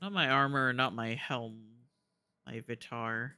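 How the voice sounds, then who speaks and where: Bright-voiced man streaming a game. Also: mid-range voice, slow, clear, resonant tone, no room sound. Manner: informal, rambling, swearing. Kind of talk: casual live-stream chat while gaming.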